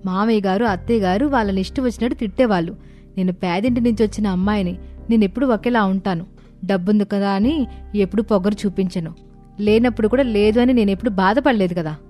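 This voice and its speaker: A woman's voice: mid-range voice, fast talker, mellow, faint music behind, close to an everyday voice. A woman playing a humble, indignant young daughter-in-law in a cartoon family story.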